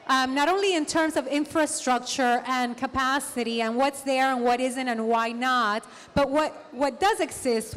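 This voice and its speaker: A woman hosts a conference panel and introduces the moderator in a brisk, chatty way. Her voice is high and carries a long reverb from the hall. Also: a bit bright. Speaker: event organizer, host.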